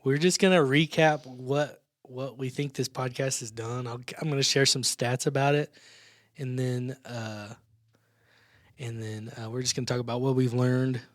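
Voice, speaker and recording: A man's voice: mid-range voice, steady tone, normal speed, no echo, clean studio sound. Chatty man hosting a church podcast. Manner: chatty, laughs often, stumbles and restarts. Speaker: podcast host. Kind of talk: casual church podcast chat.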